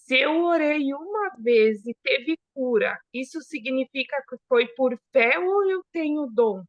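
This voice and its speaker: High-voiced woman on a call. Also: lively intonation, a little slow, no room sound, muffled recording. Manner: brief, direct questions. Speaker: student asking a question. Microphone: video call.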